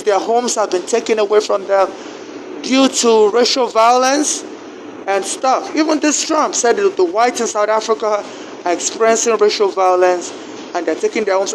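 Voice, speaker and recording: A man with a Nigerian accent, whose first language is not English, tells his story in a fairly high voice. He stumbles, repeats words and restarts his sentences as he goes. Steady traffic noise runs loud behind him. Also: rough recording. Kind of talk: personal grievance account.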